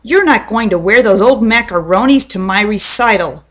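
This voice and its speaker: A woman's voice: medium pitch, expressive, moderate pace, a bit bright. A woman voicing a grumbling older brother, a scornful, protesting schoolboy in a children's storybook. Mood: bossy. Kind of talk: children's storybook read aloud.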